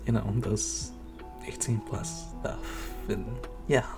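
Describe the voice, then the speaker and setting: A man's voice: on the low side, takes it slowly, music in the background. A young man talking casually to his listeners as the creator of an audio channel. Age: young adult. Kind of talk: casual intro addressed to listeners.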